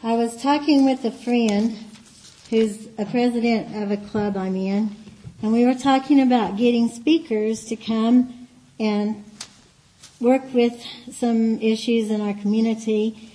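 A woman with a light, higher voice gives a church teaching in a meeting room, talking in an easy, chatty way. Her tone is mellow rather than bright, and at times she drifts off the mic. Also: steady tone, a little slow, low-quality recording. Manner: warm, chatty storytelling with soft laughs and asides. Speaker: guest speaker.

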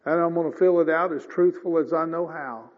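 A man in his fifties preaching a sermon in a folksy, conversational way, often clearing his throat. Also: on the high side, steady tone, a little fast. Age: 50s. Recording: dull lo-fi sound with long reverb.

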